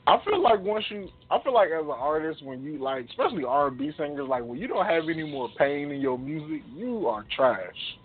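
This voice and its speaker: A man's voice: fairly high pitch, big pitch swings, a little fast, few pauses, slightly rough. A man co-hosting a radio show in casual, opinionated banter, blunt and slangy, repeating phrases for emphasis. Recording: tinny, phone-like internet radio audio.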